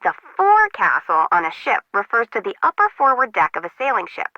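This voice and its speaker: A woman's voice: medium pitch, huge pitch swings, fairly quick, no room sound, dull audio. An actress playing a chatty, disorganized, slangy young female harbor worker in a video game, bubbly and wry. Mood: enthusiastic explaining.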